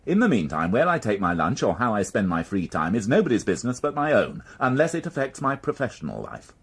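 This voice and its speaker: An actor playing a stubborn, middle-aged veteran police inspector in a radio drama, dry, grumbling and sardonic. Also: male voice, on the low side, huge pitch swings, brisk, breathy edge, full character voice. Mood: indignant, firm. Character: world-weary, stubborn veteran male police inspector, dryly sarcastic.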